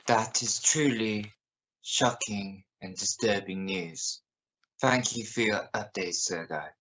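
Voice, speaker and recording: Man playing a low-voiced, grave news interviewer. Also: fairly bright tone, poor audio. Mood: solemn. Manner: formal, measured. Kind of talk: amateur radio play acting as newscast.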